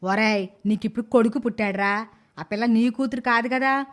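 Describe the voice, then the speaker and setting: Cartoon moral tale: scheming old grandmother, fairly high voice, blunt and nagging acted by a woman. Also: fairly quick, lightly acted. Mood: scornful. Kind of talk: animated moral story dialogue.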